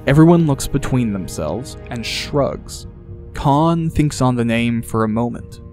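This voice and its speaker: A man's voice: medium pitch, expressive, measured pace, darkish tone, faint music behind. A man reading scripted fantasy narration as an audiobook narrator.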